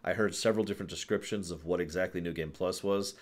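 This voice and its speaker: A man's voice: sits low, fairly even intonation, quick pace. A man hosting a livestream, talking casually about games to a live chat in an emphatic, rambling way, often repeating phrases for stress. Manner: rambling, digressive. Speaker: livestream host.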